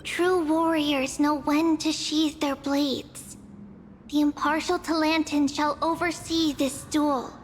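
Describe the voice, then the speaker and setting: A woman playing a serene, mystical young holy maiden, speaking gently and solemnly in riddles. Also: high voice, a little hiss or hum. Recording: long hall reverb with faint music.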